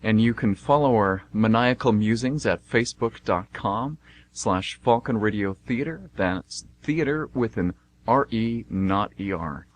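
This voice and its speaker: A man's voice: lowish voice, lively intonation, unhurried. A man hosting an amateur radio theater show and reading its scripted narration.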